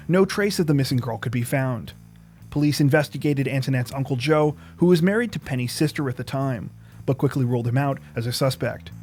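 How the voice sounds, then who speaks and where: A man reads scripted true crime narration in a measured, serious manner. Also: medium pitch, darkish tone. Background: plucked guitar music.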